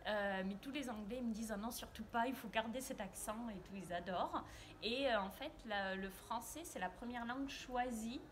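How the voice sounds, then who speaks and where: A woman's voice: a little high, moderate pace, slight background noise. A French woman being interviewed about her work as a private French tutor, speaking earnestly with frequent hesitations and filler sounds. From France. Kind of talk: casual interview answers.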